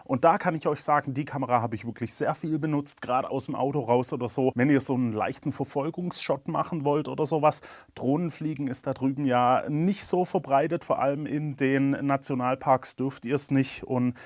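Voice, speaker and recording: A man's voice: medium pitch, a little fast, no echo, clean studio sound. A man with a cold, candidly talking through his camera gear in a casual review. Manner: candid, chatty, plain-spoken. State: has a cold.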